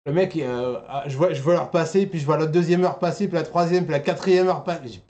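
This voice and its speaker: A man's voice: a little high, fairly quick, warm tone. A man hosting a casual anime news web show, with jokey, teasing banter. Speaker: host.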